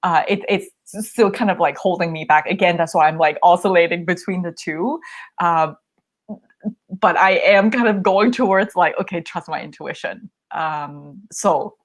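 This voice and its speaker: Chatty young woman with a low voice. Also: normal speed. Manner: chatty, laughs often, many fillers and restarts. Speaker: podcast host receiving coaching. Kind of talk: candid conversational chat.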